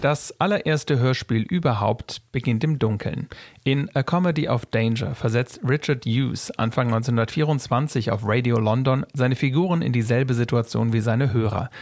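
A man's voice: medium pitch, creaky, a little mellow, dry recording. A man reading scripted narration for a radio feature as its reporter.

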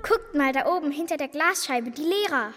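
Sehr helles, mutiges Schulmädchen. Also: female voice, high-pitched, moderate pace. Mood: eager, earnest. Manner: excited, earnest. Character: plucky, eager schoolgirl facing a scary test. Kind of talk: children's radio play acting. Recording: long hall reverb, faint music.

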